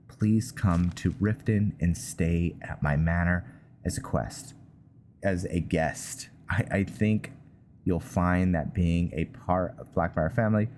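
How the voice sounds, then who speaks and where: A low-pitched man talks through his roleplay playthrough in a casual, halting way. He sounds like a gaming channel host at his desk, with faint noise behind him. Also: darkish tone. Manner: hesitant, halting delivery. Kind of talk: casual gameplay roleplay commentary, reading game notes aloud.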